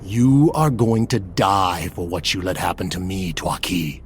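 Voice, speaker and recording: A man's voice: low voice, measured pace. A man voicing a vengeful, tormented mutant supervillain in a comic dub, menacing and anguished, with bitter delivery. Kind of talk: dramatic comic dub acting. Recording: loud music behind the voice.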